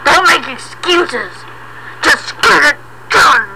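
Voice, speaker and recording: A woman's voice: high-pitched, lively intonation, slow, gravelly, noisy background, voice pushed up, exaggerated voice. A woman voicing a bossy, angry, abusive husband who barks orders and belittles in an amateur audio play.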